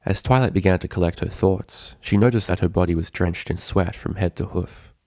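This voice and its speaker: A man reading a scripted story as narrator, in a measured, dramatic storytelling manner. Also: deep voice, a little mellow, no room sound, clean studio sound.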